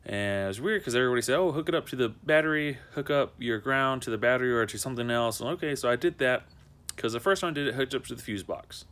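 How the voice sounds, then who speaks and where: Young man, mid-pitched voice, unscripted how-to talk, conversational, wandering and repeating himself. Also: quick pace, a bit bright, clean tone. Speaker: amateur video maker showing a car project. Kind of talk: casual unscripted how-to vlog.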